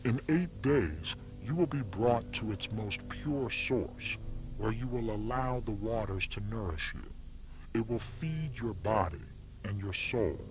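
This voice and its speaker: A man acts out a solemn cult leader from an analog horror tape. He preaches in deliberate, short ritual phrases with a lowish voice. Music is clearly heard behind him. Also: lively intonation. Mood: solemn, commanding. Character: solemn male cult leader welcoming an initiate. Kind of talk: scripted ritual address, horror.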